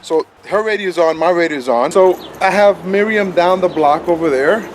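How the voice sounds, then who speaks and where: Casual man with a slightly high voice. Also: normal speed, a crowd in the background. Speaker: gadget reviewer. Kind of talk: casual hands-on review chat.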